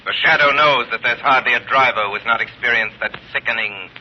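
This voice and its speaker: An actor playing an ominous, mysterious avenger with dramatic, drawn-out emphasis in a 1930s radio advertisement. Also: male voice, mid-range voice, natural voice. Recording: muffled, faintly noisy 1930s radio recording.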